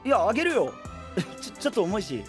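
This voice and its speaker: A male actor playing an excitable, naive and good-natured country-boy knight in an anime audio drama, speaking in a boisterous, casual, boyish way. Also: high voice, brisk. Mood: friendly, amused. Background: background music.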